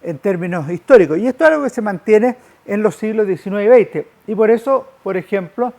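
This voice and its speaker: A man lecturing in a didactic, measured way with formal phrasing. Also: high voice, lively intonation, darkish tone. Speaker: lecturer, historian. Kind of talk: public lecture on history, with slides.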